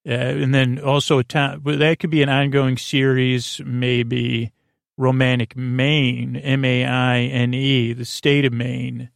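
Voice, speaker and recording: A man hosting a bedtime podcast in a murmuring drone, meandering and halting as he stammers and repeats words. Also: medium pitch, little pitch movement, gravelly, no echo, studio quality. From United States. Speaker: podcast host. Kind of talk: rambling bedtime podcast monologue.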